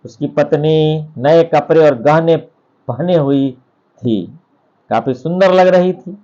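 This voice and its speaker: A man narrating a scripted bedtime story in deliberate short phrases, with pauses between them. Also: fairly high pitch, dark tone, muffled recording.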